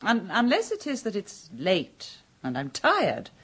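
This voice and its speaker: An actress playing a melancholy, mysterious contessa, speaking in a languid, brooding way in an old radio drama. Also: female voice, mid-range voice, wildly swooping pitch, a little slow, a bit bright, husky, exaggerated voice. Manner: languid, brooding, philosophical delivery. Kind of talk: 1970s radio drama acting.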